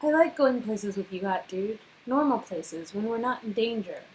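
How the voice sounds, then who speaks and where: A woman voicing a gentle, slightly detached and wistful companion in a story game. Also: mid-range voice, animated, moderate pace, slight background noise, close to an everyday voice, low-quality recording. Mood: gently affectionate.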